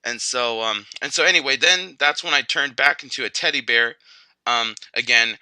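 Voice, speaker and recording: A man's voice: medium pitch, normal speed, bright, forceful tone, clear, resonant tone, no room sound, rough recording. A man voicing a chatty teddy bear who used to be a grizzly, telling stories casually in a rambling, hesitant way full of filler words. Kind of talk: casual first-person storytelling.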